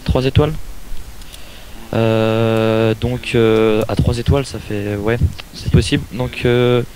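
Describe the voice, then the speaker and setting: A man's voice: fairly low pitch, steady tone, slow pace, a little mellow, a little hiss or hum. A man making a prank phone call, politely persistent and hesitant in his questions. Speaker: prank caller.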